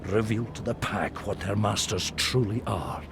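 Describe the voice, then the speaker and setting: An actor playing a bitter, ominous werewolf elder in a video game, speaking solemnly like an archaic orator in a breathy growl. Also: male voice, on the low side, unhurried, background noise. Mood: menacing. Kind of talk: video game dramatic monologue.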